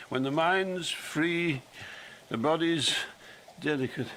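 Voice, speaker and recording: A man's voice: on the high side, slow, a bit bright, a little hiss or hum. An actor playing a grief-stricken old king going mad, in theatrical, declamatory Shakespearean audio drama style. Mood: brooding. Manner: theatrical, declamatory, swinging from muttering to roaring.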